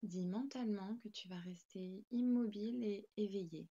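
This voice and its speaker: A woman guiding a yoga nidra meditation in a calm, gentle, soothing manner with spaced instructions. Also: mid-range voice, normal speed, dull audio.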